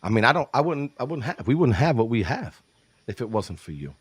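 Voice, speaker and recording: A man's voice: mid-range voice, sing-song, fairly quick, breathy edge, dry recording. A man from New York hosting a casual interview show, hyping his guest, laughing often and calling him brother. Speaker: show host.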